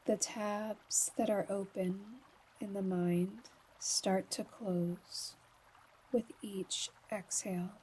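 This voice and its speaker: A woman guiding a slow meditation in a soft, breathy voice, often near a whisper, calm and soothing, with long pauses. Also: medium pitch, slight background noise, poor audio. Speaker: yoga nidra guide.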